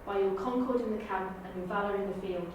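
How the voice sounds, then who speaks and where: A woman's voice: mid-range voice, fast, a little mellow, dull audio. Declaiming woman, very echoey room. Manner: formal, declamatory. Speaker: auditioning actor. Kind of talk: dramatic recited audition monologue. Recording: very echoey room, distant mic, faint noise.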